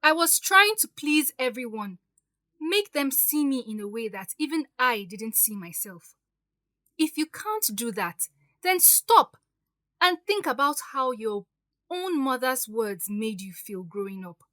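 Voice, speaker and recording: Actress as hurt young nigerian stepdaughter, standing her ground, sharp forceful voice, audio drama, very dry sound. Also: sits high, sing-song, a little slow, no room sound, full character voice. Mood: defiant. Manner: emphatic, pleading yet firm.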